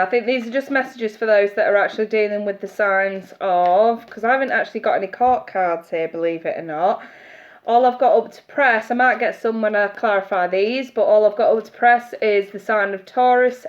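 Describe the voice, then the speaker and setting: Chatty woman with a blocked nose. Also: mid-range voice. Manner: chatty, greets viewers by name, laughs often. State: congested from hay fever. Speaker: tarot reader. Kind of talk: live-streamed tarot reading. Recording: muffled audio with steady hiss.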